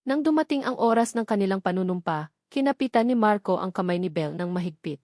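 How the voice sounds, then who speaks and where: A woman's voice: medium pitch, expressive, fast talker, darkish tone, no room sound. Woman narrating a sentimental love story, dry sound.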